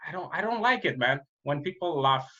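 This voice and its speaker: Romanian-accented man, earnest and halting. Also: on the high side, animated, bright, forceful tone, dull audio. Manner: earnest, halting, many restarts. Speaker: podcast host, comedian. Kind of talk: casual podcast chat.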